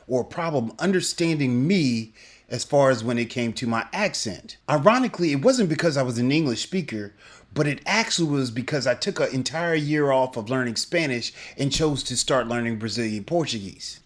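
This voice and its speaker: A man's voice: medium pitch, animated, normal speed. A middle-aged man giving a scripted video lesson as a language teacher, upbeat and emphatic in his coaching.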